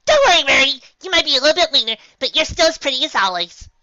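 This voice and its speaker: Squeaky, bouncy young cartoon pony girl. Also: lively intonation, racing, pushed and bright, dry recording, lo-fi sound. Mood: bubbly, cheerful. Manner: bouncy, exclamatory, chatty. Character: bubbly, cheerful young female cartoon pony.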